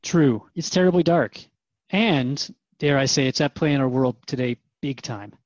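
A man's voice: medium pitch, moderate pace, no room sound, lo-fi sound, lightly acted. A man playing a modest, earnest radio interviewer in a scripted radio drama. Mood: appreciative. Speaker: radio show host and interviewer.

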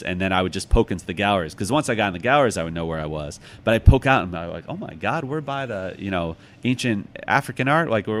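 A man's voice: on the low side, a bit bright. An American man talking in a conversational interview, explaining things reflectively as a guest. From Chicago, United States. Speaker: interview guest, author and former museum guard. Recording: clearly noisy background.

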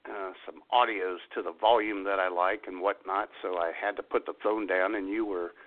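A man's voice: deep voice, fairly even intonation, moderate pace. A man co-hosting a casual comic radio show, telling stories in a folksy, exasperated way. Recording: thin phone-like radio audio.

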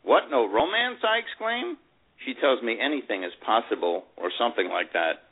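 A man playing a wary, teasing divorced man who tells his side of a romance in an animated memoir reading. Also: mid-range voice, normal speed, slightly rough. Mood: wry, uneasy. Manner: animated storytelling with acted dialogue. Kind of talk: dramatized first-person memoir reading. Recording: muffled, narrow phone-like radio sound.

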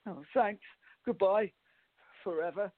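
A man's voice: sits high, takes it slowly. A man playing a nervous, exasperated young bachelor in a farce radio play, flustered and sarcastic, on muffled, narrow-band audio. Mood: nervous, placating.

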